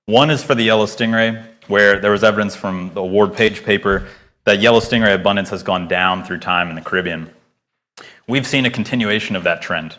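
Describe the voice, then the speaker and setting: A man's voice: lowish voice, fairly bright tone. A man in his thirties giving an earnest, emphatic research presentation to a live audience, speaking into a microphone. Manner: earnest, emphatic lecturing with rising stresses. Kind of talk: conference talk with Q&A. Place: lecture hall before an audience.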